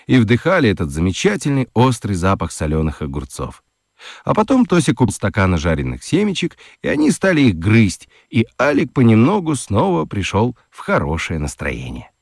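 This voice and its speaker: Мужчина-рассказчик детского радиоспектакля читает выразительно, с драматическими паузами. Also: male voice, lowish voice, darkish tone, dry recording, clean studio sound. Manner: deliberate, dramatic pauses. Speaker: narrator. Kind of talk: expressive scripted storytelling for children's radio play.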